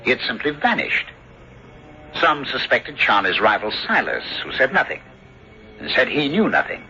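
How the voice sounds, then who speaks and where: An actor playing an earnest, inquisitive country doctor who also narrates his story in a measured, theatrical radio drama style. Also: mid-range voice, huge pitch swings, pushed and bright, a little hiss or hum, full character voice. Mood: grave, troubled. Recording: dull lo-fi old radio broadcast.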